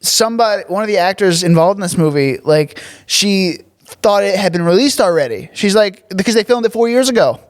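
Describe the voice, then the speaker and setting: A man's voice: high voice, expressive, a little fast, few pauses. A man in his twenties co-hosting a casual movie review podcast, talking in a laid-back way. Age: 20s. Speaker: podcast co-host.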